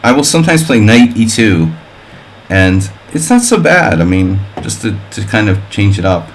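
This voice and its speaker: Wry low-voiced male chess streamer. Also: sing-song, moderate pace, a little hiss or hum. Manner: thinks aloud, calling out moves between wordplay and jokes; wry and offhand, chatting with viewers. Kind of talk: live-stream commentary while playing a simul.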